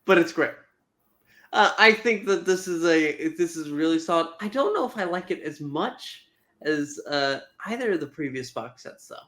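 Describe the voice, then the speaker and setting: A man's voice: a little high, expressive, normal speed, fairly bright tone. A man co-hosting a podcast who gives wry, chatty opinions on an audio drama, often with fillers and small laughs. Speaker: podcast co-host. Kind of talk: casual review chat.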